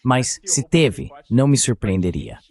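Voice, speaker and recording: A man's voice: mid-range voice, big pitch swings, measured pace, vocal fry, darkish tone. A man giving translated voice-over political commentary, opinionated and fond of rhetorical questions.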